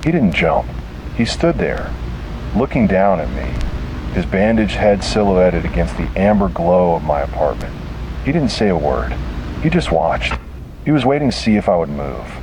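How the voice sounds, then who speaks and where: A man reads a scripted first-person horror story dramatically, in short deliberate phrases. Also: sits low, very animated, background noise.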